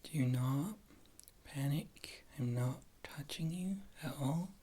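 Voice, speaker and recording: A woman playing a wry witch healer in an ASMR fantasy roleplay, speaking softly and often slipping into a whisper, in a rambling, casual, reassuring way. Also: low-pitched, slow pace, slightly rough, heavily acted. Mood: soothing, focused. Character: wry, thrifty witch healer, a former adventurer.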